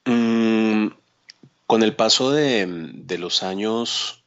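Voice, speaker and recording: A man with a very low voice and a Colombian accent, recorded a little dully. He talks casually and haltingly as an interview guest, often checking in with short tag questions. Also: highs cut off. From Cali, Colombia. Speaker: podcast guest, psychologist. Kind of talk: casual conversational self-introduction.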